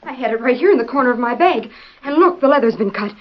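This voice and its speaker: An actress playing an earnest, anxious young niece in an old-time western radio drama. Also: female voice, on the high side, animated, quick pace, slight background noise, lightly acted. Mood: distressed. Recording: muffled old radio broadcast.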